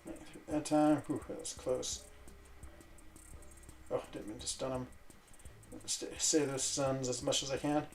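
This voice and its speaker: A man who grew up in Switzerland, chatting casually and rambling while he plays a video game, breaking off into quick exclamations. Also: mid-range voice, little pitch movement, soft background music. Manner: rambling, self-interrupting chatter with fillers and sudden exclamations. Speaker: gaming streamer.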